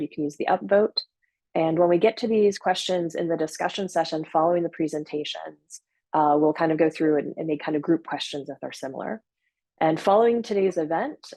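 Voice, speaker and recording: A woman hosting a scientific webinar on a video call, speaking in a measured way with frequent fillers and self-corrections. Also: on the low side, mellow, no echo, poor audio. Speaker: webinar host and moderator. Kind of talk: formal webinar introduction. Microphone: computer mic on a video call.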